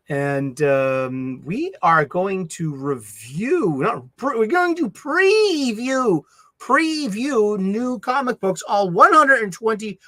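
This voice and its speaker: A middle-aged man with a thick Boston accent hosts a casual livestream chat. His voice sits a little high, and he rambles and mumbles, restarting his sentences. The sound is dry, with no room echo. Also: bright, forceful tone. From Boston, USA. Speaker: livestream host.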